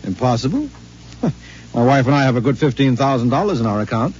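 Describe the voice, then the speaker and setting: An actor playing a nervous, henpecked middle-aged husband who stammers and hesitates, in a 1950s radio drama. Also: male voice, mid-range voice, lively intonation, brisk, a little mellow, a little hiss or hum, natural voice. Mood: anxious. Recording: dull old radio broadcast recording.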